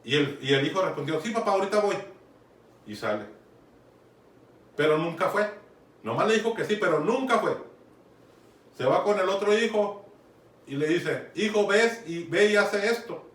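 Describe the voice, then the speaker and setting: A man's voice: high-pitched, animated, normal speed, long pauses, fairly bright tone. A man with a Mexican accent preaching a conversational Bible sermon, often checking in with his listeners. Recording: echoey room, from far off, faint noise.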